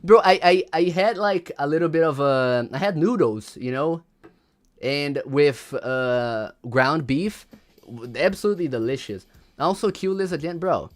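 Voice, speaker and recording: A man's voice: fairly high pitch, expressive, a little slow. A young man whose first language is Portuguese, rambling through a casual livestream and reading chat aloud, often repeating words.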